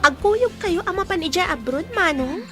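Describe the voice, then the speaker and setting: A woman's voice: extremely high pitch, expressive, moderate pace, music in the background. An actress playing a sweet, devout and respectful young woman with a Filipino accent in a radio drama. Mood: prayerful, tender.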